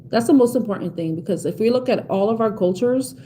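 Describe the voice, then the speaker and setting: Mellow-voiced New York woman reading tarot. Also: mid-range voice, fast, a little hiss or hum. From South Bronx, New York. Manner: chatty, reassuring, many fillers and restarts. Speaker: tarot reader. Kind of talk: live-stream tarot reading, chatting with viewers.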